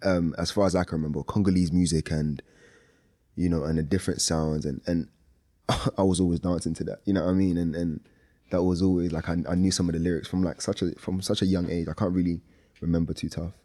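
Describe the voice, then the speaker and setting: A man's voice: sits low, flat delivery, quick pace, warm tone. A young man, a native Lingala speaker, being interviewed as a music artist in a casual, informal way, often checking in with little tag questions. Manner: informal, repeats tag questions like "you know what I mean". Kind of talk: casual chat.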